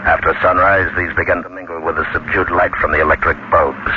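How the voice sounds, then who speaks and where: Actor as deep, ominous male horror narrator. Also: little pitch movement, background noise, close to an everyday voice. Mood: grim, measured. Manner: measured, ominous, literary. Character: grave male storyteller of a horror tale. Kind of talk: 1940s radio dramatic narration. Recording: dull old radio recording, loud music.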